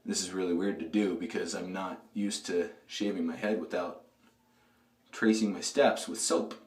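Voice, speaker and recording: A man with a hoarse voice from a throat cold, talking casually and rambling with lots of fillers while reviewing a shave product as host of his shaving videos. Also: sits low, moderate pace, reverberant, far from the mic. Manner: relaxed, rambling, many fillers.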